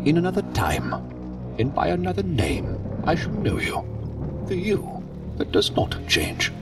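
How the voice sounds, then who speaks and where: An actor playing a coolly curious, ominous non-human entity in a science fiction audio drama, speaking in formal, grandiose musings. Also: male voice, on the high side, huge pitch swings, a little slow, loud music behind the voice, exaggerated voice.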